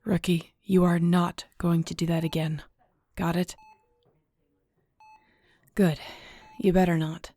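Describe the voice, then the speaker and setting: A woman playing a fierce, protective, stubborn but tender wolf-shifter in an intimate audio roleplay, hushed and firm. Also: fairly low pitch, little pitch movement, slow pace, pauses often. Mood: scolding, relieved.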